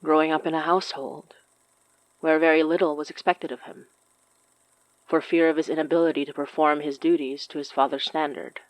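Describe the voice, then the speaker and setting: A woman's voice: deep voice, level tone, a little fast, dry recording, low-quality recording. A woman hosting a fiction podcast, reading announcements and scripted story narration.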